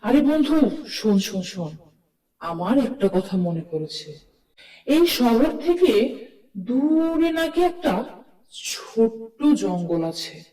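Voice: mid-range voice, big pitch swings, slow pace, pauses often, dark tone. A performer voicing a brave, earnest cartoon townsman with pleading emphasis, in a distant recording with long reverb. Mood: worried, hopeful. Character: brave, earnest townsman rallying his frightened friends. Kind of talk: cartoon story dialogue.

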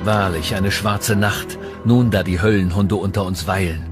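A man's voice: sits low, fairly even intonation, moderate pace, loud music behind the voice, lightly acted. A male actor playing a solemn, ominous Puritan preacher in a documentary re-enactment. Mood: grim foreboding. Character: grim, solemn Puritan preacher lamenting devils. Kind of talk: dramatized sermon in documentary re-enactment.

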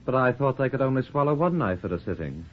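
A man's voice: mid-range voice, lively intonation, fast talker, natural voice. An actor playing a stuffy, earnest, humorless young man in a 1940s radio play, stiff and pompous in delivery. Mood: earnest, self-satisfied. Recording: muffled 1940s radio recording.

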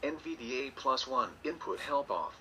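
A synthesized man's voice reads screen text in an evenly enunciated way. It is very sharp and forward, medium in pitch, coming from a computer speaker with slight background noise. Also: animated, moderate pace. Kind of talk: synthesized text-to-speech readout.

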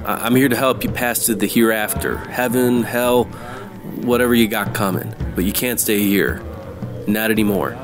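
Man playing a low-voiced hardboiled detective. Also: moderate pace, over music, close to an everyday voice. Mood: steady, insistent. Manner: slangy, wry, tough-talking. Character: hardboiled, wisecracking supernatural detective, male, unflappable.

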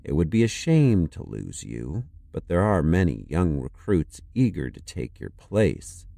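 Imperious corporate official: dark, slow, swooping delivery, condescending and coldly formal, for audio drama. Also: male voice, a bass voice, creaky, no room sound, studio quality, full character voice. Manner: clipped, condescending, bureaucratic. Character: cold, pompous, authoritarian corporate council official, male.

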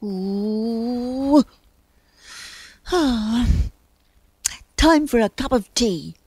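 A woman's voice: fairly high pitch, drawn-out words, dark tone, breathy edge, no room sound, voice pushed up, full character voice. A woman voicing a grumpy male bear in a children's story, with a blunt, emphatic delivery. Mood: contented. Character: grumpy male bear who likes his comforts.

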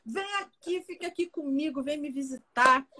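A woman's voice: high voice, normal speed. A woman from Brazil, a teacher and interview guest, explaining her views thoughtfully in a casual live video chat. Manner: thoughtful, explanatory, warm farewells. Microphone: webcam, video call.

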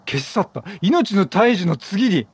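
A man giving casual, chatty game commentary, exclaiming and reacting out loud. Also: sits high, big pitch swings, unhurried, slightly rough, no room sound. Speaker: gaming commentator.

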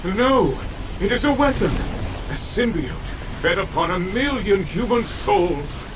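An actor voicing a fanatical, grandiose villain in a game cutscene. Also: male voice, high-pitched, lively intonation, unhurried, noisy background. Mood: gloating. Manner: grandiose, zealous proclamations. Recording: distant voice under loud game score.

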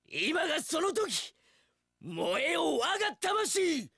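A fiercely loyal young samurai spearman in a video game. His voice is very high for a man and forceful, with a raspy edge, and he makes archaic warrior declarations with wildly swinging pitch. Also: huge pitch swings, takes it slowly, dry recording, clean studio sound, heavily acted. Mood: fervent, battle-ready. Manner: archaic formal warrior speech, bellowed declarations. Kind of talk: video game battle voice lines.

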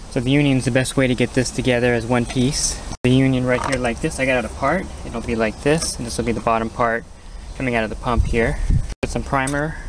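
Plain-spoken man, noisy outdoor how-to. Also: mid-range voice. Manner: plain-spoken, step-by-step instructing. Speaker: how-to host, pool equipment installer. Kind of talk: casual hands-on tutorial narration while working. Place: outdoors at a small backyard equipment pad. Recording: outdoor recording with clear ambient background noise.

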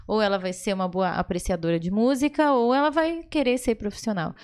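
A woman's voice: medium pitch, fairly quick, darkish tone, clear, resonant tone. A woman in her thirties with a southern Brazilian accent, chatting and explaining enthusiastically as a guest music teacher on a podcast. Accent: southern Brazilian (gaúcho). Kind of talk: casual interview chat. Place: small indoor podcast studio. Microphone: studio microphone on boom arm.

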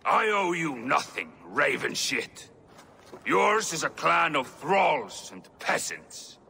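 A vicious Viking enemy taunts with open contempt. His high, very harsh-edged voice swings in pitch and rings slightly in a hall, like a game cutscene villain. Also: very animated, slow, gravelly, bright, forceful tone, exaggerated voice. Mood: sneering. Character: sneering, vicious Viking enemy warrior, male. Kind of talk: dramatic game villain acting. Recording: hall reverb, faint ambient noise.

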